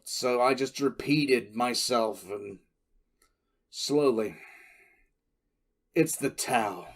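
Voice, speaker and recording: A man playing a grim, shaken military commissar who recounts events in a halting, reflective way for an audio drama. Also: medium pitch, close to an everyday voice. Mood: grim, brooding. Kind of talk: dramatic first-person audio drama narration.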